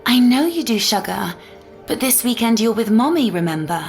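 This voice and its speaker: Woman playing a doting mom talking soft to her little kid, coaxing, audiobook w music. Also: mid-range voice, normal speed, natural voice. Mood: sweet, coaxing. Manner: gentle, coaxing. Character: doting mother soothing her small daughter.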